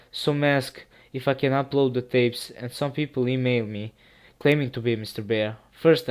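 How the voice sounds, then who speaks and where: Smooth-voiced man. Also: medium pitch. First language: Serbian. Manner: earnest, steady reading with small restarts. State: sick. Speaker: YouTube narrator. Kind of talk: first-person horror story narration.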